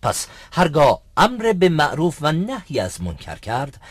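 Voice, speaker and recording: Formal, husky-voiced cartoon sheikh. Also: male voice, fairly high pitch, huge pitch swings, no room sound. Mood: approving, instructive. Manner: formal, measured, instructive. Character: patient, learned male mosque sheikh instructing boys. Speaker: sheikh teaching boys. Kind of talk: dubbed educational cartoon acting.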